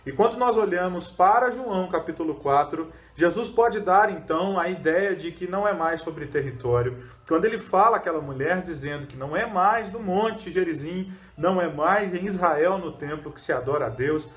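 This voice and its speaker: A man's voice: high-pitched, normal speed, hardly any pauses, a bit bright. A Brazilian man, a pastor, preaching a short sermon with rhetorical questions and fillers. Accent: Brazilian Portuguese. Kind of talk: short recorded sermon teaching.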